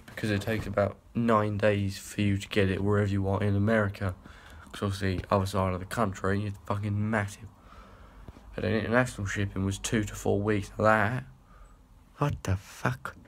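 A man's voice: on the low side, flat delivery, moderate pace, slight background noise. A young man, rambling and swearing in a casual vlog filmed on a phone held at arm's length. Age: young adult. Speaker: vlogger. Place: small indoor rooms and a hallway.

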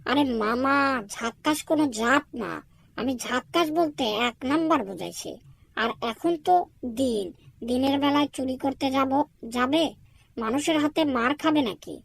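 A crafty, greedy young fox from a cartoon, voiced by a woman. The voice is high-pitched with plenty of creak, and it wheedles and schemes. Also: normal speed. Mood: wheedling. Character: sly, greedy, flattering young male jungle fox. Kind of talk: cartoon dubbing.

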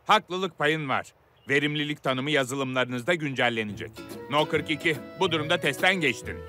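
Stern veteran car for a kids' cartoon, played by a man, slightly high male pitch, curt official orders, music underneath. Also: animated, moderate pace, close to an everyday voice. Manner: curt, commanding, formal. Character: stern older-model male car running tests. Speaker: test supervisor. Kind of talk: animated children's cartoon acting.

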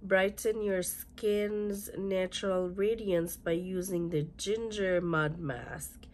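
Hoarse, raspy woman chatting slowly. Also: medium pitch, fairly even intonation, darkish tone, slight background noise. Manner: halting, chatty, reads product labels aloud. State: hoarse, congested from illness. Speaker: beauty vlogger. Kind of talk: casual unboxing chat. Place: small bedroom with fluffy chair, indoors.

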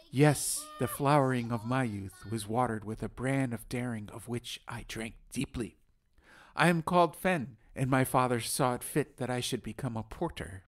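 A man's voice: mid-range voice, noisy background, close to an everyday voice. A man voicing a chained prisoner who speaks in a formal, old-fashioned, cheerful way. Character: chained male prisoner, eloquent, upbeat, wordy. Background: ambient music.

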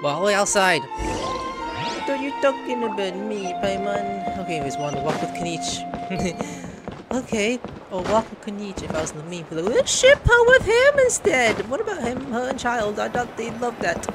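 Male streamer, lively intonation, casual let's play commentary, blunt teasing asides, loud game music. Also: high voice, moderate pace.

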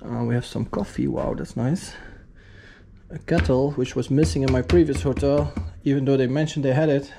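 A man's voice: mid-range voice, fairly even intonation, moderate pace, creaky. Chatty man vlogging, noisy handheld sound. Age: 30s. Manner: chatty, thinking aloud. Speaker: travel vlogger. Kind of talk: casual spoken-as-it-happens travel vlog narration. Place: city street, then hotel lobby, lift, corridor and room. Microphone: action camera held at arm's length. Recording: noisy handheld camera audio with street and room ambience.

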